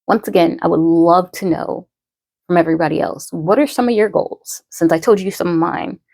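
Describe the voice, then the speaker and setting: A woman in her thirties, a poet and podcaster, talking casually to her followers in a slow, rambling way full of fillers and restarts. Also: lowish voice, gravelly, a little mellow, no room sound. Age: 30s.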